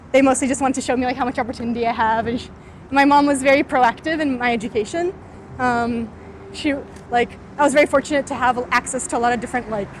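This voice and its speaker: A young woman from California answers interview questions while walking outdoors. Her voice sits high, and her speech is loose and relaxed, full of "like" and "um." Faint city noise hums under her. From California, USA. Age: 20s. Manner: frequent "like" and "um" fillers, thoughtful. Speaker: startup founder interviewee.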